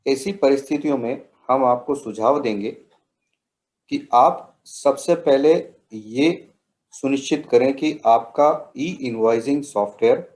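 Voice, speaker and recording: A man with an Indian accent explains software steps as a trainer, in measured, instructional phrasing. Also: medium pitch. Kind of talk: instructional software tutorial narration.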